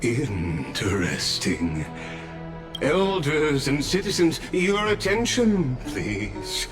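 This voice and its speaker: A man playing a composed, formal official who presides over an assembly in a video game, speaking with measured courtesy. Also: mid-range voice, very animated, over music. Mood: composed, ceremonious.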